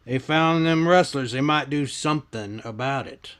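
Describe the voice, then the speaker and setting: A man's voice: mid-range voice, moderate pace, fairly bright tone, smooth, close to an everyday voice. A man acting a laconic, folksy saloon keeper in a western radio drama, understated in manner. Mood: wary.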